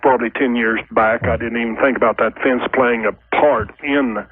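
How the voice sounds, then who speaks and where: A man's voice: medium pitch, steady tone, moderate pace, breathy edge. A man calling a radio show, explaining his problem in a folksy, rambling, hesitant way. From United States, Oklahoma. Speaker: call-in radio caller. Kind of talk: casual explaining of a personal problem. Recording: tinny noisy phone line on radio.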